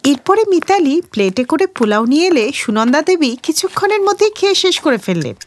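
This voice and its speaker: A woman's voice: sits high, big pitch swings, a little fast, no echo. A woman narrating a scripted moral story for children as the storyteller.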